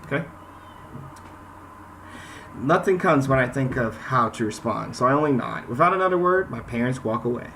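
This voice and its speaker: A man's voice: medium pitch, expressive, normal speed, pauses often, a bit bright, a little hiss or hum. A man hosting a gaming video, chatting casually and reading game text aloud. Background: game soundtrack music.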